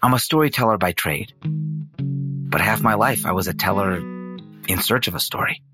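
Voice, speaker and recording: An actor plays a folksy male storyteller in an audio drama, musing and unhurried. His low voice swings expressively through deliberate pauses. Guitar music plays clearly behind him. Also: animated, close to an everyday voice. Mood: musing, intriguing. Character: musing storyteller narrator, a man picking guitar. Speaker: narrator and guide.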